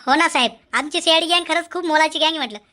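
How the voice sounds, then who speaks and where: A man plays a cocky cartoon gang member with an extremely high, piercing bright voice. He teases and swaggers through comedy dialogue like a born wisecracker. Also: very fast. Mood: teasing.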